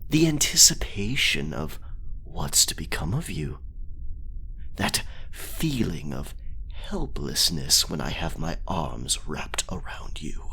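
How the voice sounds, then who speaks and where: Man acting a deep, whispering, possessive pirate lover. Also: sing-song, sharp tone, full character voice. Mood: possessive, seductive. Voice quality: breathy, low. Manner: slow, theatrical, alternating whispers with sudden swells. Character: possessive, menacing male pirate lover, obsessive and dominant. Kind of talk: ASMR roleplay, intimate whispering.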